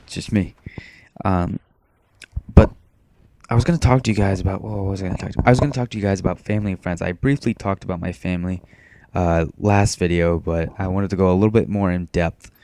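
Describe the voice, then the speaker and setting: A teenage boy from Maine rambling in a self-described monotone, talking casually over his gameplay with frequent restarts. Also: low voice, brisk, slight background noise. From Maine, USA. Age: teens. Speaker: gaming commentator.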